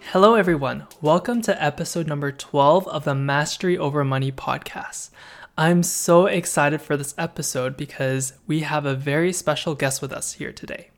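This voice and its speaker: A man's voice: on the high side, normal speed. A man hosting a podcast interview, upbeat and eager as he introduces his guest and asks questions. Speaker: podcast host.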